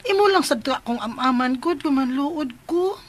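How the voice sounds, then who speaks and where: A high, girlish-pitched female voice with a Cebuano Filipino accent. a lovesick, clingy young heroine of radio drama who sulks and pleads with the man she adores. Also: expressive, normal speed, a little hiss or hum. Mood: sulky, lovestruck. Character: lovestruck, sulky, clingy woman pining for a man.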